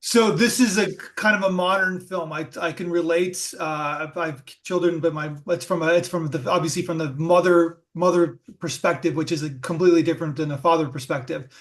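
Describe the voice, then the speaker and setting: A man with a high voice for a man hosts a casual film interview. He circles his questions with many fillers and restarts before getting to the point. His talk is loose and conversational. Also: fairly even intonation, fairly quick, hardly any pauses. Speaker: podcast host.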